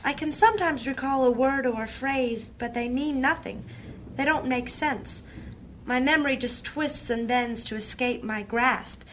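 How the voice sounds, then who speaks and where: A woman playing a troubled, gentle amnesiac searching her memory in a fantasy audio drama. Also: high-pitched, measured pace, a little hiss or hum.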